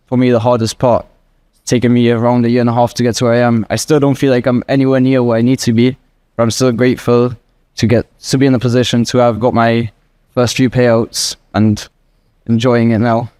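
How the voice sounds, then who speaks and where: A young man in his early twenties answers questions with earnest, rambling sincerity, leaning on filler words as he thinks aloud. His voice sits at an ordinary pitch with a mellow, warm tone. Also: little pitch movement, a little fast, clear, resonant tone. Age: 20s. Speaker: guest trader. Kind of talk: casual interview answers.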